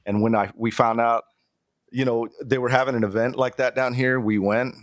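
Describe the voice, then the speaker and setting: A man's voice: mid-range voice, steady tone, moderate pace, no room sound, clean studio sound. A man from South Texas co-hosting a casual podcast, joking around and hyping up the guest. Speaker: podcast co-host.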